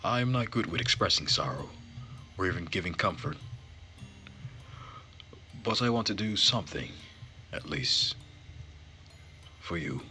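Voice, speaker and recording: Earnest young marquis for a visual novel, male reader, measured and formal, low pitch, very bright edge. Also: expressive, full character voice. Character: gentle, earnest young nobleman, quietly sorrowful. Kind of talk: visual novel character read-aloud. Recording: music behind the voice.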